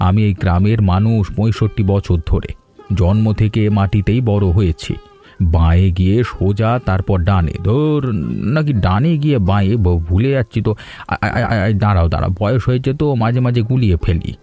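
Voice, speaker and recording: A man's voice: fairly low pitch, fairly quick, warm tone, faint music behind, natural voice. A man voicing a muddled, kindly, chatty 65-year-old villager in a cartoon, stammering and repeating himself. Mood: muddled, friendly. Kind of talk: cartoon story voice acting.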